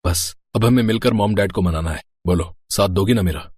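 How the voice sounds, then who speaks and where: An earnest young husband voiced by a man in a cartoon family drama. He speaks low and a little husky, in a dry recording. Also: a little fast, darkish tone, no room sound. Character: earnest young husband, devoted dutiful son.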